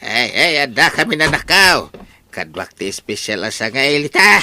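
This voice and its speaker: A man playing an elderly widowed Ilocano father giddily in love, hesitant and full of drawn-out interjections, in a comedy radio drama. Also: mid-range voice, sing-song, background noise. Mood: excited, coaxing.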